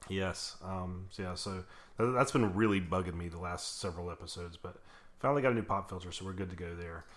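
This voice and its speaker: A man hosting a podcast, chatting casually in a slightly hoarse, raspy voice, rambling with lots of fillers. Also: sits low, brisk, a bit bright. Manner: rambling, many fillers and restarts. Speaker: podcast host. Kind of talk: casual chat.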